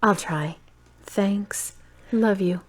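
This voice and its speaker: A woman playing a wry, thoughtful grown daughter in an audio drama, measured and dry. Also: mid-range voice, a little hiss or hum. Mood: dry, affectionate. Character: wry, guarded adult daughter, thoughtful and independent.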